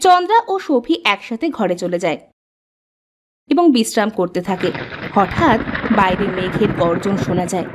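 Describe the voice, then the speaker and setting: A woman storyteller reading a scripted children's tale in an expressive, measured way. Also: mid-range voice, over music.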